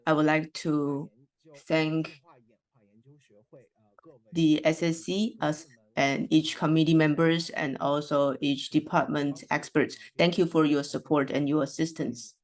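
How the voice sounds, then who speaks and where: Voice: mid-range voice, flat delivery, unhurried, rough recording. An interpreter voicing a host's welcome remarks and housekeeping notes, hesitating often with fillers and restarts.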